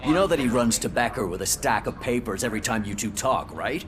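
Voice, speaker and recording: A man's voice: medium pitch, expressive, fast talker, slight background noise, lightly acted. A man playing a cynical, teasing police officer in a video game, dismissive and needling. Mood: scornful, teasing. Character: cynical, teasing male veteran cop.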